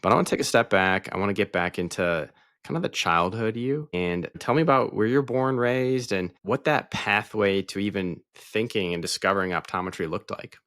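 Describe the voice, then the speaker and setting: A man's voice: on the low side, normal speed, a bit bright, dry recording, clean studio sound. A man hosting a podcast, upbeat and enthusiastic as he welcomes and questions his guest. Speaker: podcast host.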